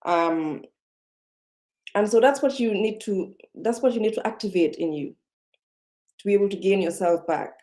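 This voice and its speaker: A woman's voice: medium pitch, moderate pace, pauses often, darkish tone. A woman speaking English as a second language, talking to camera in an unscripted self-help video, hesitant with many fillers and restarts. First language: not English. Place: indoors, seated. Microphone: webcam or computer mic.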